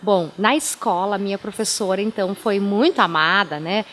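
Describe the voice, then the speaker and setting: A woman's voice: medium pitch, normal speed, a bit bright, a little hiss or hum. A woman from southern Brazil whose first language is German, a pastor telling her life story in a radio interview, with a chatty, anecdotal way of talking and frequent tag questions. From Timbó, southern Brazil.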